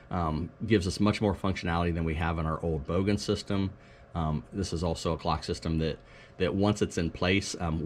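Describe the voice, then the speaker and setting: A man with a low voice walks through an informal product demonstration. He explains things in a matter-of-fact way, like a staff member presenting to colleagues. There is faint noise in the background of the recording. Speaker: school technology staff presenter.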